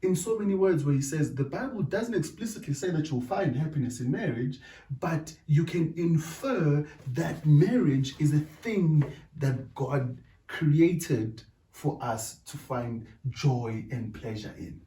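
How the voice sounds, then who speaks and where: A middle-aged South African man whose first language is Zulu. He talks in a deliberate way in a casual discussion and keeps checking in with "right?". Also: on the high side, lively intonation, warm tone. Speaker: podcast co-host. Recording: echoey room, distant mic.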